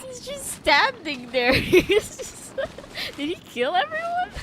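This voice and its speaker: A woman's voice: extremely high pitch, wildly swooping pitch, takes it slowly, noisy background. Giggly teasing young woman streamer. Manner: giggly, teasing, talks back at the game. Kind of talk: casual let's play commentary. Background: loud game music.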